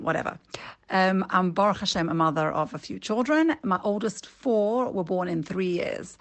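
A middle-aged woman from England with a British accent touched by South African, speaking frankly in a casual voice note as a mother. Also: fairly low pitch. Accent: British with South African tinge.

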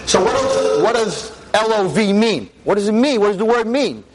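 A veteran teacher in his sixties lecturing an audience on a microphone, with a loud voice, emphatic and full of rhetorical questions. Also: sits high, big pitch swings, moderate pace, very noisy. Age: 60s. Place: talk in front of an audience. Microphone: handheld or stand mic.

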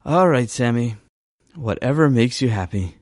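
Man playing a weary dad: calm, patient, sighs often, no room sound. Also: mid-range voice, moderate pace, dry recording, clean studio sound, close to an everyday voice. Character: patient, weary adult father.

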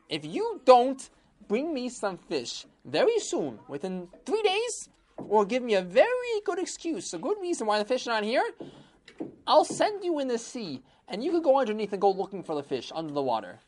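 A woman voicing an angry, demanding male king in a storybook tale, shouting his demands. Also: rumbling low, big pitch swings, normal speed, full character voice. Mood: indignant.

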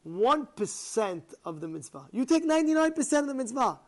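Man playing pushy rich aristocrat, high and excited. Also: moderate pace. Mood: insistent bargaining. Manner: pushy, persuasive, repetitive. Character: wealthy aristocratic man, insistent, coaxing deal-maker.

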